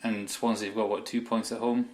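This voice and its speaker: A man's voice: lowish voice, flat delivery, fairly quick, darkish tone. A man, co-hosting a casual football podcast with dry, self-mocking humor. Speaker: podcast co-host.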